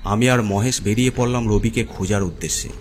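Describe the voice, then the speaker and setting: A man's voice: fairly low pitch, steady tone, fairly quick, a little hiss or hum. A man reading a scripted horror story as its narrator.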